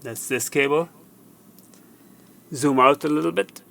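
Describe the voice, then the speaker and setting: Creaky-voiced man giving a repair demo. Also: a little high, sharp tone. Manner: halting, step-by-step, repeats himself. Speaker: repair tutorial presenter. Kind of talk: hands-on how-to demonstration. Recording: faint background noise.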